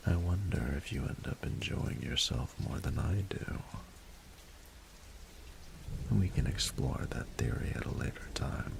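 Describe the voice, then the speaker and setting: A man playing a regal, doting dragon ruler in a romantic audio roleplay, speaking formally in a gravelly, breathy murmur. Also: extremely low pitch, animated, in a whisper, full character voice. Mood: flirty, indulgent. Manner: formal, unhurried, eloquent, courtly. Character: regal male dragon ruler, doting, patient, courtly mentor.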